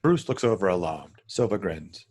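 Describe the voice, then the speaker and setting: Lowish, slightly husky male screenplay narrator. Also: very animated, normal speed, a little mellow. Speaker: narrator reading screen directions. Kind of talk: scripted narration at a table read.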